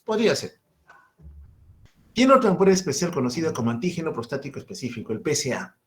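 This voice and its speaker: A man's voice: a little high, big pitch swings, fast talker. A man in his fifties with a Peruvian Spanish accent, giving a medical lecture and asking and answering his own questions. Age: 50s.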